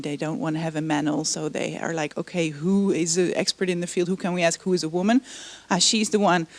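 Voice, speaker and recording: A woman's voice: mid-range voice, dry recording. A woman contributing to a workshop discussion, earnestly recounting an anecdote. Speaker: workshop participant.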